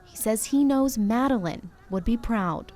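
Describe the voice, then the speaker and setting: A woman's voice: on the high side, unhurried, darkish tone, a little hiss or hum. An American woman reporter reading a scripted narration for a news story.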